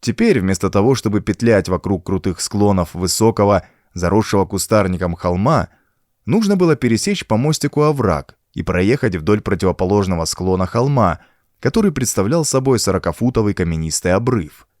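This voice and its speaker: A man narrates a suspenseful horror story in a fairly low voice. His intonation swings widely and expressively, and the recording is dry and close, with almost no room sound. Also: expressive, brisk, a little mellow, no echo. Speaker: audiobook narrator.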